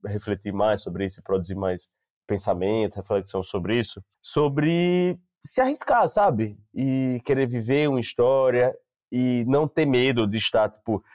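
Animated, laughing man, dry sound. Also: medium pitch, unhurried, no echo. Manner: animated storyteller, laughs often. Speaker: podcast co-host. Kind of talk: casual chat among friends.

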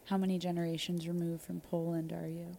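A woman co-hosting a comedic mock interview, asking questions in a dry, deadpan way. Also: lowish voice, brisk, gravelly, a little hiss or hum. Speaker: co-host.

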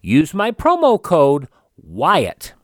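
A man's voice: a little high, huge pitch swings, slow pace, no room sound. A male radio host giving a conversational ad read into a close studio mic.

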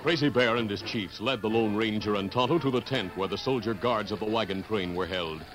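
A man playing the dramatic narrator of a 1950s radio western adventure, with an American accent and deliberate storytelling delivery. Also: lowish voice, soft background music, natural voice, poor audio. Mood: excited. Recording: old radio broadcast recording.

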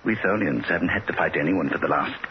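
An actor playing a courteous, precise alien emissary in a 1960s radio drama, genteel and mildly condescending. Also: male voice, low voice, fast talker, close to an everyday voice. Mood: politely patient. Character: polite, mild alien emissary bent on pruning humanity. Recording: dull, hissy 1960s radio recording.

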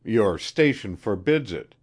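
A storybook adventurer played by a male reader, earnest and direct, his pitch lifted and swinging. He questions bluntly, at times with a harsh edge. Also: very animated, measured pace. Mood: questioning, blunt.

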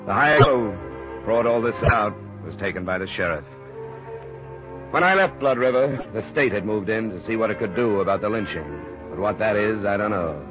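An actor plays a cynical, world-weary American investigator narrating an old radio mystery. His low voice is clipped and wry. Orchestral music runs behind the dull vintage recording. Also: natural voice. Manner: clipped, wry, matter-of-fact. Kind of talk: hard-boiled 1950s radio narration. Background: dramatic orchestral music.

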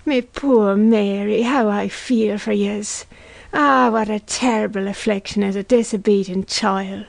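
Woman playing a pious neighbour, drawn-out lamenting. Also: medium pitch, steady tone, creaky, no room sound. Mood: gushing sympathy. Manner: sobbing, sing-song, churchy lamenting. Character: pious motherly neighbour woman, sanctimoniously effusive in sympathy. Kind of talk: literary audiobook character acting.

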